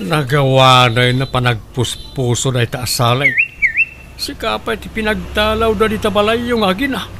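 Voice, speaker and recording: A man with an Ilocano accent playing a kind but exasperated middle-aged husband in a comic radio drama, animated and grumbling. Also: high-pitched, moderate pace, over loud music. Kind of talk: comedic radio drama acting. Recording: very loud background noise.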